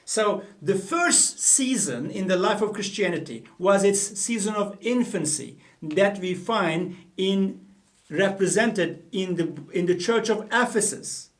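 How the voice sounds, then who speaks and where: A man's voice: high voice, lively intonation, unhurried, recorded from a distance. A middle-aged man from Turkey with a Turkish accent, teaching the Bible earnestly to the camera from a small studio room, often repeating and correcting himself. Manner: earnest, gestures with both hands, repeats and self-corrects.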